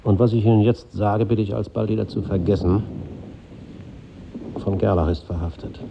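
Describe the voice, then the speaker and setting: An actor playing a composed, resolute wartime army colonel in a 1950s radio drama, with a clipped, controlled delivery. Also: male voice, fairly low pitch, fairly even intonation, normal speed, creaky. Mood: grave, resolute. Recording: dull old radio recording, slight noise.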